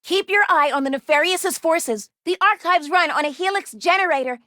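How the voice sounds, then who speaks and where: High female robot voice, video game character, earnest, shy, bookish, polite exact diction, dry studio sound. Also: normal speed, sharp tone, dry recording. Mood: curious, matter-of-fact. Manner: formal precise diction, avoids contractions.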